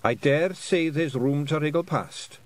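A man voicing a dying old fairy clan mother with a Scottish accent, speaking slowly and firmly in a raised voice. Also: very animated. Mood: commanding, frail. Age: over 60. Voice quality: slow, raised-pitch, firm old-woman voice.

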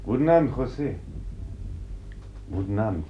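A man's voice: lowish voice, animated, normal speed, lightly acted. A man playing a courteous, earnest young village priest in a German radio play, polite and formal. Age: young adult. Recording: dull, slightly noisy old radio recording.

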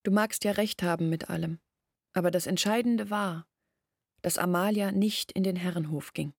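An actress in a German radio play playing an earnest village woman who recounts events in a measured, literary way. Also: lowish voice, vocal fry, no room sound, clean studio sound. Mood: insistent.

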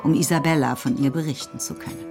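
Low-voiced woman narrating over music. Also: steady tone, fast. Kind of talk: scripted literary narration. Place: radio studio.

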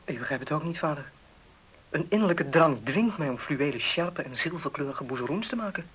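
An actor playing a dreamy, reluctant young fashion designer in a radio play, hesitant, soft-spoken and slightly affected, on a noisy old recording. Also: male voice, on the high side, animated, fairly quick, breathy edge. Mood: apologetic. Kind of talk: 1970s Dutch radio play acting.

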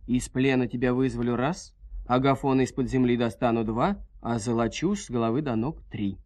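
A man's voice: mid-range voice, moderate pace, darkish tone, voice pushed down, lightly acted. A man playing a sly male fairy-tale villain who coaxes and bargains in a wheedling manner. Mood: coaxing. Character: sly, coaxing male villain plotting escape. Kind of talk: fairy-tale audio play.

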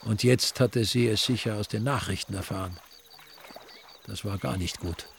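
A man's voice: fairly low pitch, normal speed, gravelly, a little hiss or hum. A man with an Austrian accent giving wry scripted narration in a radio drama. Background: music.

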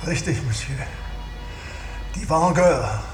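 An actor playing a solemn, proud submarine captain, delivering a formal monologue in a German radio drama. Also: male voice, on the high side, expressive, takes it slowly. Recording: echoing space with music behind.

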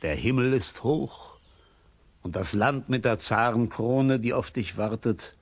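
A man playing a mysterious, solemn old wise man who foretells destiny in a children's radio play, speaking deliberately with a husky voice. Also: medium pitch. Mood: solemn, prophetic. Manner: slow, deliberate, expressive, solemn.

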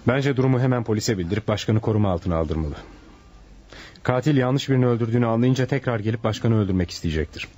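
An actor in a radio play voicing an earnest, analytical hospital subordinate, brisk and matter-of-fact, with a husky voice. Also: male voice, mid-range voice, warm tone, lightly acted. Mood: urgent, certain. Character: urgent, analytical male hospital subordinate reporting murder.

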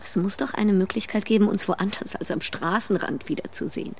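An actress plays a married woman full of longing and bold insistence. Her voice has a gravelly creak and a coaxing, intimate manner, as in a radio drama. Faint noise sits behind her. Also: mid-range voice, a little fast, a little mellow, natural voice. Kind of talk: German radio drama acting.

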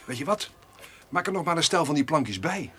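An actor playing a brisk, sceptical farmer in a radio play, dry, colloquial and teasing. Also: male voice, a little high, sing-song, a bit bright. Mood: bargaining. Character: Hidde Govers, brisk, sceptical male farmer. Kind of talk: naturalistic radio play dialogue.

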